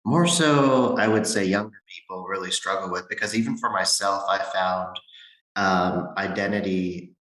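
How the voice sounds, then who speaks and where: A young man hosting a conversational interview, feeling his way haltingly through questions and comments. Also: low-pitched, fairly bright tone. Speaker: podcast host. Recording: echoey, roomy sound.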